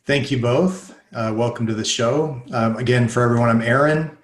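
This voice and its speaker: A man hosting an interview, talking in a measured, slightly halting way. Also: medium pitch. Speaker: show host. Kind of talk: interview conversation. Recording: echoey room.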